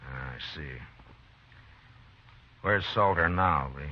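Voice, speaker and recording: An actor playing a firm, steady Old West marshal in 1950s radio drama, terse, measured and commanding. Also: male voice, sits low. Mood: calm, probing. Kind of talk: 1950s western radio drama acting and narration. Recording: muffled, noisy 1950s radio recording.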